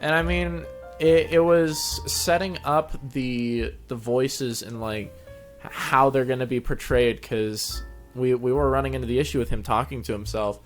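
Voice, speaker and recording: A man's voice: medium pitch, steady tone, moderate pace. A man with an ordinary mid-range voice talks casually as a co-host. He chats conversationally in an interview, and music plays under his voice. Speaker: co-host and narrator of a story-reading channel.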